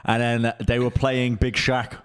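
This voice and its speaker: A man's voice: mid-range voice, level tone, unhurried, smooth. A man hosting a podcast, chatting casually and steering the talk with wry jokes. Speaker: podcast host. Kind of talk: casual chat.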